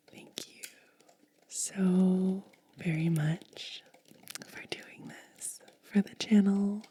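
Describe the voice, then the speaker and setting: Female ASMR host, hushed whisper, low-pitched and mellow, unhurried short phrases. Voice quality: breathy, whispered. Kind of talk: soft-spoken ASMR.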